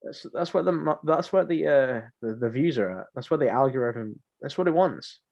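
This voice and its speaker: A man's voice: medium pitch, animated, moderate pace, creaky, darkish tone. A young man chatting casually as a podcast co-host, rambling and often repeating his phrases. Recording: dull lo-fi voice mic.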